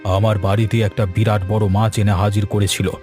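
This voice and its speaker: Male actor as troubled cartoon landowner, fairly low voice, resigned yet in charge, faint music bed. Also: little pitch movement, moderate pace, a little mellow, lightly acted. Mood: worried. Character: worried, put-upon village gentleman, mother-dominated. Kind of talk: cartoon story dialogue.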